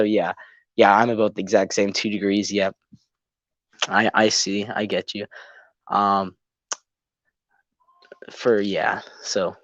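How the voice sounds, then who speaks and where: A teenage boy from Canada chats casually on a phone live stream, his nose still congested from a cold. His voice sits a little low and rambles with lots of ums, captured dry and close with a dull, lo-fi tone. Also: no room sound. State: congested, a bit sick. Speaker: YouTube channel host. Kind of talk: casual live-stream chat while building.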